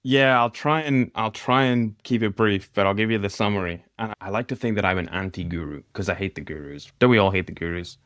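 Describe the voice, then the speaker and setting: Deep-voiced man, mixed mid-Atlantic accent. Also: brisk, hardly any pauses, a bit bright, no echo, clean studio sound. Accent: mixed mid-Atlantic with light Scottish. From Northeast Scotland. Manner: self-deprecating, laughs easily. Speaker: guest mentor. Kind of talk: casual podcast interview.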